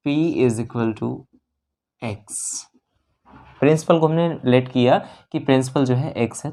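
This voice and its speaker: An Indian maths teacher with a medium-pitched voice takes learners through an exam problem. The delivery is explanatory and methodical, going one step at a time. Key numbers and phrases get repeated, English maths terms are mixed in, and short check-ins come often. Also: darkish tone.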